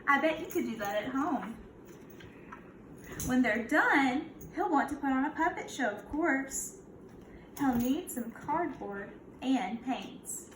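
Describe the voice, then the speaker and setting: High-voiced woman reading to young children. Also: lively intonation, slow pace. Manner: instructive, prompting listeners with questions. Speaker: kindergarten teacher. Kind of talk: picture-book read-aloud for young children. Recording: echoey room, clear background noise.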